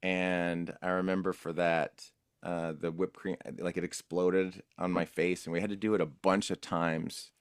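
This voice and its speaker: A man with a notably deep, low-pitched voice talks through his old voice-acting gigs in a loose, rambling, easygoing manner. The sound is dry and close, with no room echo. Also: little pitch movement, a little slow, clean tone. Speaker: voice actor guest. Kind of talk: casual interview recollection.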